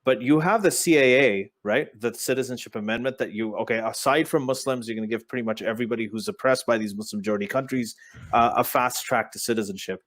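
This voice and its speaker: A man's voice: medium pitch, quick pace, a bit bright. Insistent questioning man raised in Saudi Arabia and Pakistan. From grew up in Saudi Arabia and Pakistan. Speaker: debate co-host. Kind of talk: online debate.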